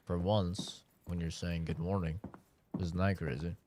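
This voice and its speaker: A man streaming a game, talking casually with a raspy, deeper-than-usual morning voice, rambling with lots of "like" and "man". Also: flat delivery, unhurried, background noise. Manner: rambling, filler-heavy, says "like" and "man" often. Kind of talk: casual gameplay livestream commentary.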